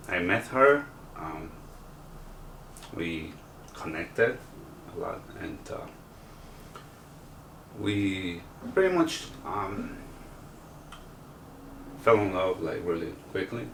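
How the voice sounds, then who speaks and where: A man from El Salvador, a contestant telling his life story in an interview, talking haltingly with many fillers. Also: sits low, animated, creaky, fairly bright tone, slight background noise. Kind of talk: personal interview. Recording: roomy sound from a distance.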